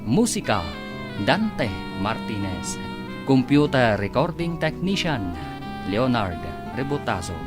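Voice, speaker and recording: A man's voice: mid-range voice, sing-song, takes it slowly. A man announcing a radio drama in scripted, dramatic narration. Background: music.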